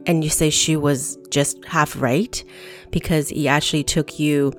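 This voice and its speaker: A woman hosting a podcast, asking a guest careful, measured questions. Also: low-pitched, steady tone, faint music behind. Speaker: podcast host, interviewer. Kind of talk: interview questions.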